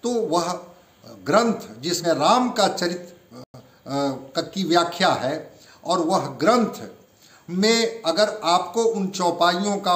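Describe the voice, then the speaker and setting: A man's voice: high voice, fairly bright tone. A man giving political commentary like a spokesman, earnest and oratorical in his phrasing. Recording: roomy, echoing interview sound.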